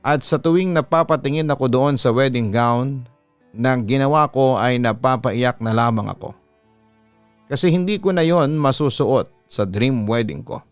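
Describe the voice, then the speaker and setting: Filipino guy reading out a letter like a radio host, mid pitch, close dry mic, soft music behind. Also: clean tone, dry recording. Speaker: radio announcer and story channel host. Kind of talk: scripted narration of a listener's letter. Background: background music.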